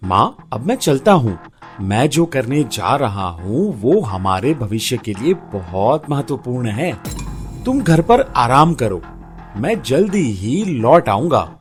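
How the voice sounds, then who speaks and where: Eager young male villager, acted by a man, earnest storybook son, slow delivery with swooping intonation. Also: mid-range voice, sing-song, faint music behind.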